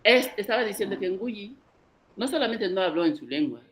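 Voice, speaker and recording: A man's voice: sits high, expressive, moderate pace, a bit bright. A man from Equatorial Guinea, whose first language is an African one, gives an unscripted lecture at a cultural conference, arguing his points emphatically and speaking straight to the audience. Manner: emphatic, argumentative, addresses the audience directly.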